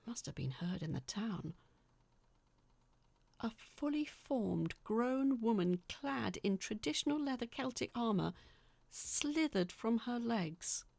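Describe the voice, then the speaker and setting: Woman reading out a story, slow n dramatic, croaky fry in her voice, really dry close sound. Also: on the high side, expressive, darkish tone, no echo. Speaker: story narrator. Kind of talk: scripted first-person story narration.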